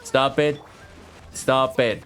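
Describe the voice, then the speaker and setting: Guy doing casual game stream commentary, sarcastic, swears a bit, soft game music behind. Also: medium pitch, fairly even intonation, very slow. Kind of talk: casual play-along commentary. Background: video game music.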